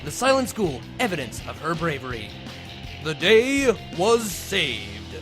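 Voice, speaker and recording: A man's voice: on the high side, huge pitch swings, a little slow, a bit bright, soft background music. A man reading comedic fan fiction aloud as a host, with a theatrical, mock-dramatic delivery. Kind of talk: animated comedic story narration.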